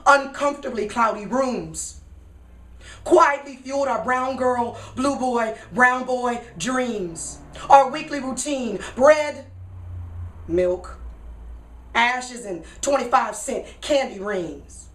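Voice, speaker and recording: A woman from Kentucky with an American accent delivers a theatrical spoken word monologue in dramatic, deliberate phrases with pauses. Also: fairly high pitch, a bit bright. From Kentucky, United States. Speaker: solo stage performer and spoken word poet. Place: radio studio live performance. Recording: roomy echo, distant from mic.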